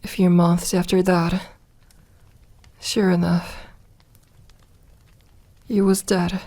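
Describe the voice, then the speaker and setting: A woman playing a lonely, solemn vampire in a soft ASMR audio roleplay, speaking in a measured, confiding way with reflective pauses. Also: lowish voice, flat delivery. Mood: sorrowful, regretful.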